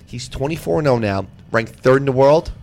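A man's voice: mid-range voice, lively intonation, a little fast, darkish tone, slight background noise. A man hosting a podcast, brash and swearing as he tells stories in casual chat. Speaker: podcast host.

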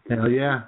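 A man co-hosting a casual internet radio show, joking in deadpan asides and laughing at his own lines. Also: mid-range voice. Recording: tinny phone-line internet radio audio.

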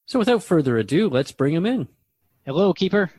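A man's voice: a little high, big pitch swings, brisk, darkish tone, husky, no echo. A man playing an earnest, inquisitive radio host in a scripted talk-show drama, speaking conversationally. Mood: welcoming. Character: earnest, curious male radio host questioning a spirit guest.

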